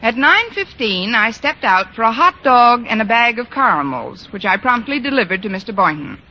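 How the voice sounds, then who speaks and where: An actress playing a wry, wisecracking American schoolteacher in an old radio sitcom, with dry, deadpan delivery. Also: female voice, a little high, moderate pace. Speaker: lead actress in a radio comedy. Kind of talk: 1940s-1950s American radio sitcom acting. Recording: muffled, noisy old radio broadcast.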